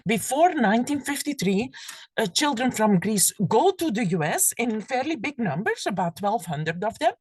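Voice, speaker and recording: Husky woman giving a lecture. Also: fairly high pitch, big pitch swings, moderate pace, no room sound. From Belgium. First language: Flemish. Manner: thoughtful, restarts phrases while searching for words. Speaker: academic lecturer. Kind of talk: university lecture.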